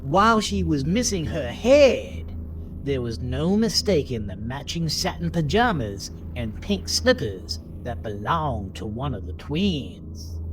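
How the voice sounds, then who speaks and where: A man narrating a scripted horror audio drama in a theatrical, deliberate manner. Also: mid-range voice, big pitch swings, noisy background. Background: music.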